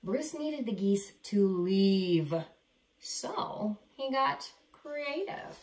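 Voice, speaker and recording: A woman in her thirties reading a children's picture book aloud with dramatic, deliberate emphasis, in a living room. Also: medium pitch, expressive, a little mellow, slight background noise. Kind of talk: expressive children's read-aloud. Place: small living room, indoors. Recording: roomy, echoey indoor sound.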